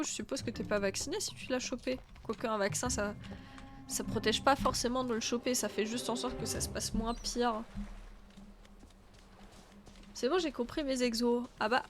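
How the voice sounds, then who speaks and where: A woman with a stuffy nose chatting casually as she streams a game, thinking aloud and answering her chat. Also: a little high. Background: faint game music.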